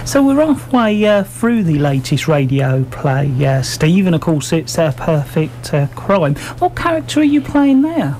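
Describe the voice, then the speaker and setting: A male radio host with a fairly high voice for a man. He puts his interview questions in a halting, hesitant way, with slight noise behind him. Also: big pitch swings, darkish tone.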